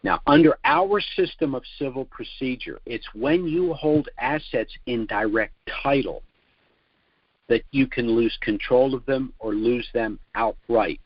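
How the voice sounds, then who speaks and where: An older man giving a formal, deliberate sales presentation as the host of a financial conference call. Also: mid-range voice, very animated, dry recording. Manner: deliberate, formal, measured phrasing. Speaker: financial trust consultant hosting a conference call. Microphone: telephone conference call. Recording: muffled lo-fi conference call audio.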